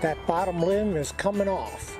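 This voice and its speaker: A man's voice: high voice, moderate pace, over music. A man with an American accent giving an instructional demonstration in a folksy, plain-spoken way as an educator. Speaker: extension educator, presenter.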